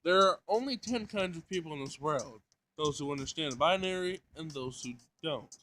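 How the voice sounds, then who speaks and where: A man voicing a blunt, literal-minded robot in a schoolgirl's body, formal and deadpan, in a visual novel. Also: on the high side, moderate pace. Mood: formal, matter-of-fact.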